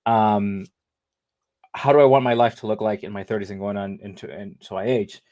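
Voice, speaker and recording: A man's voice: lowish voice, fairly even intonation, normal speed, a little mellow. Rambling man in his thirties. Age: 30s. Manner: rambling, lots of fillers and restarts. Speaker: interview guest, living kidney donor. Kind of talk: casual conversational interview.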